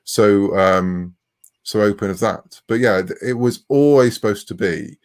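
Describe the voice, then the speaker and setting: A man's voice: on the low side, a little slow. A man in his forties speaking conversationally as a writer being interviewed. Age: 40s. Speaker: interviewed writer of comics and novels.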